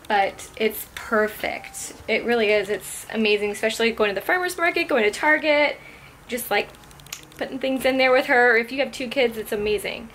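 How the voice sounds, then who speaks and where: A woman's voice: on the high side, a bit bright, reverberant, a little hiss or hum. A young woman vlogger chatting casually as she shows off a product at home, full of "like" and "um". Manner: chatty, upbeat, filler-heavy. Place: home room with wooden floor.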